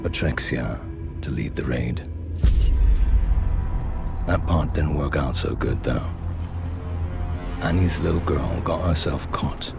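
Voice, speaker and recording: A man's voice: very deep voice, takes it slowly, creaky, long pauses. A man narrating game cutscenes in a wry, storytelling manner. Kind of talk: scripted narration. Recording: narration over loud music.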